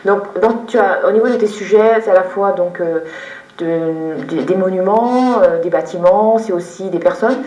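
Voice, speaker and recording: A woman interviewer in a conversational TV interview, halting as she builds her questions in fragments. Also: medium pitch, a little mellow. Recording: roomy echo, distant microphone.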